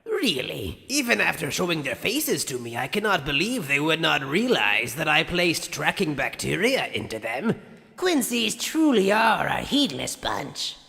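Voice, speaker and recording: A man's voice: on the high side, very animated, a little slow, gravelly, pushed and bright, full character voice. A man voicing an arrogant, sadistic mad scientist in an anime fan dub, theatrical and condescending with mock politeness, recorded in long reverb. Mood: smug lecturing.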